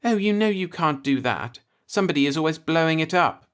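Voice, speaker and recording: Man putting on a coy, high-pitched playful young woman. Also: expressive, a little fast, a bit bright, clear, resonant tone. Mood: bored.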